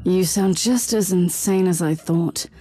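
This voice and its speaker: An actress playing a stern, sceptical imperial inquisitor in a video game, curt and commanding. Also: female voice, fairly low pitch, a little slow, warm tone, soft background music, lightly acted, rough recording. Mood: stern, scornful.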